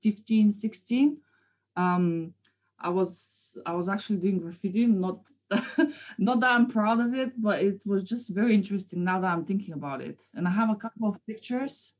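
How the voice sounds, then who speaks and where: A woman's voice: medium pitch, moderate pace, a little mellow. A woman in her thirties from Bulgaria with a Bulgarian accent, chatting informally and a little hesitantly as an interview guest. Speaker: guest, painter. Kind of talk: casual interview chat.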